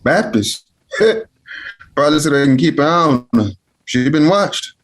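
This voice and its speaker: A man's voice: on the high side, measured pace, close to an everyday voice. A man playing a cranky elderly uncle in an acted script reading, grumbling and folksy, with a salty tongue. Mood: grave, wary. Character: cranky elderly uncle, crude, stubborn Southern family elder. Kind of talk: acted table read.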